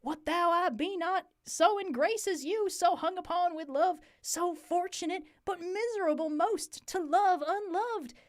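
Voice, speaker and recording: A woman putting on a high-pitched melodramatic Southern belle. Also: unhurried, hardly any pauses. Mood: indignant. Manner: melodramatic, declamatory. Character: wronged young Southern belle, theatrical and indignant.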